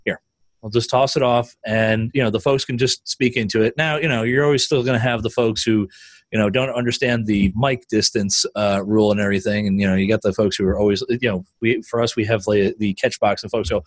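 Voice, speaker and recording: A man's voice: fairly low pitch, steady tone, a little fast, no echo. A man hosting a podcast in casual chat, loose and chatty, laughing easily. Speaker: podcast host.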